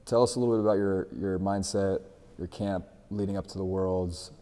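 A man's voice: deep voice, steady tone, fairly quick, mellow. A man hosting an interview, friendly and loose, with frequent "uh" and restarted questions. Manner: friendly, with frequent "uh" and repeated starts. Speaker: interview host, jiu-jitsu instructor. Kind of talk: casual interview. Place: gym with large windows, indoors. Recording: long reverb, faint music behind.

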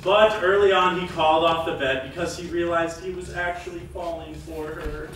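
A man's voice: fairly high pitch, steady tone, normal speed, a bit bright, lightly acted. A young man acting as an earnest lecturer in a scripted stage comedy, projecting his lines in declarative fashion. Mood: earnest explaining. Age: young adult. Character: lab-coated male lecturer explaining rom-com rules, earnest. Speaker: stage actor playing a lecturer. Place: large theatre stage, indoors. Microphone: distant camera microphone. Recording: echoey theatre from far off, noisy.

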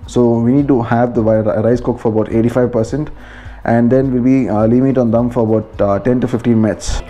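A man's voice: mid-range voice, steady tone, fairly quick, dark tone, faint music behind. A man with an Indian accent giving a casual, informal step-by-step cooking narration as a home vlogger in a kitchen.

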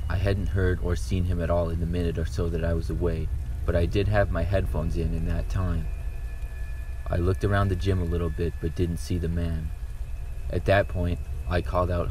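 A man reading true horror stories aloud in a calm, steady first-person narration. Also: deep voice, background noise. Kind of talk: scripted horror story narration.

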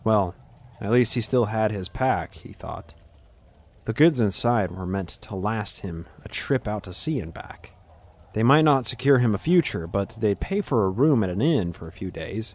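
Low-voiced male story narrator. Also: darkish tone, breathy edge. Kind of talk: scripted fantasy story narration.

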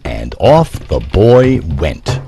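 A man's voice: sits low, wildly swooping pitch, extremely slow, vocal fry, over music. A man narrating a scripted comic story in a theatrical audio drama style.

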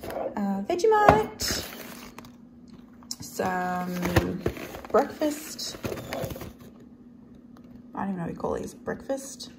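An Australian woman chats casually in a home vlog with clearly audible noise behind her. Her medium-pitched voice often creaks into fry. She speaks off the cuff, as if walking viewers through her shopping. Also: very animated, very slow, long pauses. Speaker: vlogger. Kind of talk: casual grocery haul chat to camera.

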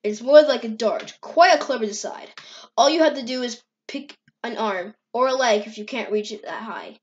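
A woman's voice: a little high, normal speed, natural voice. Girl doing a quirky male scientist doc for a comic dub, explains stuff plainly, dull muffled audio. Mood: explaining. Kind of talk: amateur comic dub acting.

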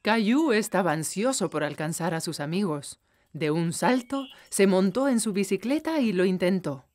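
A woman reading scripted children's story narration in an expressive storytelling manner. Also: mid-range voice, moderate pace, faint music behind.

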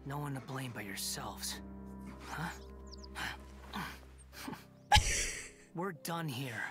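A man's voice: mid-range voice, expressive, drawn-out words. A man playing a haughty, scornful young wanderer in an anime-style game trailer, sardonic and disdainful. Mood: cold mockery. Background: loud trailer music.

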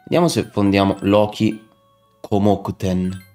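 A man's voice: fairly low pitch, level tone, unhurried, mellow. A young man doing casual live game commentary, muttering to himself and chatting with viewers as he plays. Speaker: video game streamer. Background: video game music.

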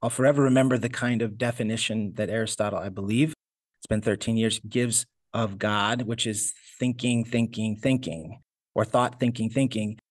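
A man's voice: fairly low pitch, steady tone, moderate pace, dry recording. A man working as a homesteader and teacher, giving thoughtful interview answers with frequent restarts. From United States. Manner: thoughtful, searching, many restarts.

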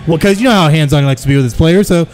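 A man's voice: a little high, very fast, a little mellow, faint music behind. A man in his thirties hosting a live sports podcast from a taproom, upbeat and joking as he plugs the venue. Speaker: podcast host. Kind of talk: casual sports talk show. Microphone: stand mic with pop filter.

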